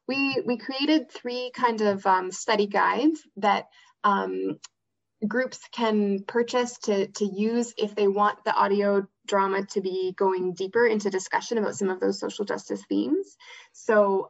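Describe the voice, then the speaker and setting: A woman's voice: medium pitch, measured pace. A woman explaining things as an interview guest, on a dull, lo-fi recording. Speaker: interview guest, theatre education manager and actor. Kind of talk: interview conversation.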